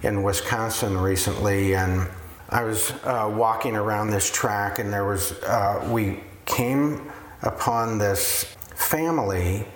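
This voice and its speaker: A man guest slowly telling a friendly story about meeting a family, with an easygoing, affirming manner. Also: fairly low pitch, reverberant. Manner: slow, chatty, affirming with many yeahs. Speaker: ministry guest. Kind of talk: casual storytelling.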